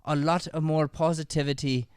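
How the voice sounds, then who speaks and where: A man's voice: on the high side, level tone, normal speed, a little mellow, smooth, no room sound, studio quality. A man hosting a live improv show, chatting informally and encouraging the audience. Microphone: podcast microphone.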